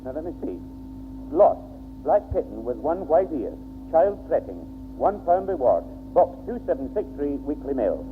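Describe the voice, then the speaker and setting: Blustering Northern English grandad, swooping voice. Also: male voice, fairly high pitch, sing-song, moderate pace, soft background music. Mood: absorbed. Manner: blustering, exasperated. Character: grumpy, easily exasperated old grandad. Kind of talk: 1960s British radio sitcom acting. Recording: muffled, noisy 1960s radio recording.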